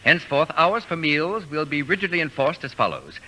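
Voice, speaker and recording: An actor playing a pompous, fastidious man who orders students around in formal, precise diction, in 1940s radio comedy style. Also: on the high side, sing-song, pushed and bright, heavily acted. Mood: stern, formal. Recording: noisy vintage radio broadcast with clicks.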